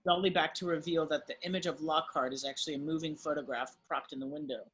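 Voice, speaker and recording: A man with a husky voice reading stage directions as the narrator of a script table read. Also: on the high side, steady tone, fast talker, fairly bright tone, a little hiss or hum, rough recording.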